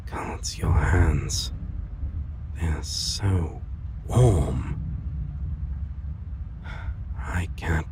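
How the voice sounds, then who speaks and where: A brooding, tender vampire played by a man in a romantic audio drama, over clearly audible background noise. The voice is extremely slow and full of gravelly fry, with halting, breathy pauses and soft, weary endearments. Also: a bass voice, full character voice. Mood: aching, yearning. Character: brooding centuries-weary male vampire, tender former vampire hunter.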